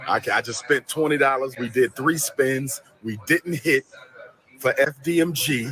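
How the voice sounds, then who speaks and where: A man's voice: medium pitch, moderate pace, a bit bright. A man in a casual vlog clip, complaining and repeating himself.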